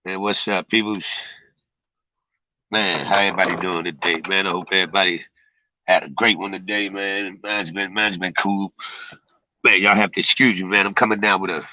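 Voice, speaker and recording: A raspy, middle-aged man, hoarse from a cold. His voice sits low and cuts through with a sharp, bright edge. He talks loose and rambling in street slang, restarting his words, recorded dry with no room sound. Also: fairly even intonation, normal speed, dry recording, dull audio. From Chicago. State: has a cold. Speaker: streamer giving advice. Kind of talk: casual livestream talk.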